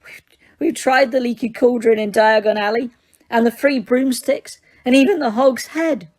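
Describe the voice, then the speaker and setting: A woman voicing a frightened, clever teenage schoolgirl witch, high, pleading and breathless. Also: normal speed, a little mellow, no room sound, lightly acted. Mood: sobbing.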